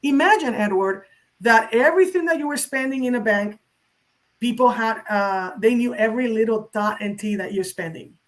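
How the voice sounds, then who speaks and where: A woman's voice: a little high, normal speed. A woman speaking as an interview guest, emphatic and opinionated, addressing the listener directly in a conversational way. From San Juan, Puerto Rico. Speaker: interview guest, social media crypto educator.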